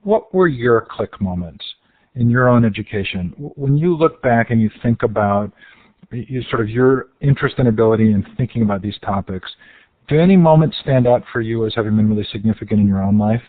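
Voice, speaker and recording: A man's voice: medium pitch, lively intonation, fairly quick, dry recording. Man, interview host, casual long questions, dry sound, narrow phone-like audio.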